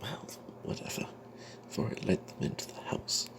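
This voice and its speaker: A man telling a fantasy tabletop tale as whispered ASMR, in a breathy, halting way. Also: background noise.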